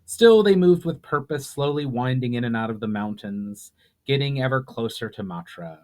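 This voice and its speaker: A man giving a dramatic reading of his own written story, measured in delivery, with occasional stumbles and self-corrections. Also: medium pitch, lively intonation. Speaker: author reading own book aloud. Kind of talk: dramatic audiobook-style narration.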